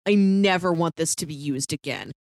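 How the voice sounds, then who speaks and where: A woman's voice: low-pitched, normal speed, darkish tone, no room sound, clean studio sound. A woman co-hosting a podcast, chatting casually about films in a conversational way with light laughter in her speech. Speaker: podcast co-host. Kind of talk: casual movie discussion.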